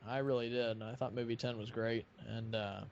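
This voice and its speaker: A man hosting a casual live stream chat, talking in a rambling, halting way. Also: medium pitch, little pitch movement, a little mellow. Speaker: live stream host of a fan dubbing project. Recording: muffled audio with light background noise.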